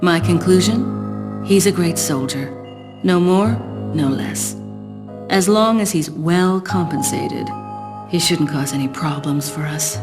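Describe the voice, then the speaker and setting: Low-voiced, cool secret agent. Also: female voice, over music. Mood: measured, analytical. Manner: detached, measured, guarded. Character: cool, self-assured female secret agent. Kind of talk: video game cutscene acting.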